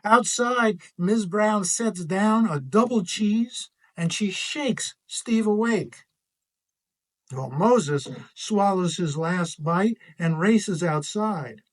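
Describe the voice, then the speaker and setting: A man's voice: sits high, slow pace. A man reading a children's picture book aloud expressively as its author.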